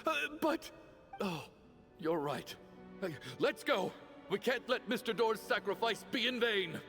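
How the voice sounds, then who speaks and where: An actor playing an anxious, talkative young travelling companion in a video game, fretful and full of exclamations. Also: male voice, sits high, lively intonation, measured pace, fairly bright tone, big-room sound, soft background music. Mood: shaken, relieved.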